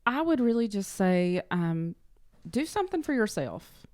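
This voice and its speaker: A woman's voice: medium pitch, big pitch swings, measured pace, darkish tone, dry recording, studio quality. A woman chatting casually as an interview guest, giving encouraging advice in earnest, emphatic short statements. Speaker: interview guest, clinic front-office worker.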